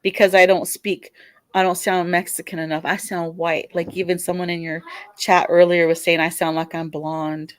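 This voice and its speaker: Woman, Californian accent, caller on a live stream, hesitant, restarts a lot, lowish voice, dry close sound. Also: little pitch movement, moderate pace, dry recording. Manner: hesitant, many restarts and fillers. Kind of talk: live call-in debate.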